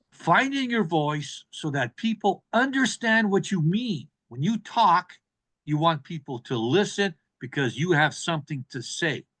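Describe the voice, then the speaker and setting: A man delivering an evaluation speech at a public speaking club in an emphatic, deliberate manner. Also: a little high, a bit bright.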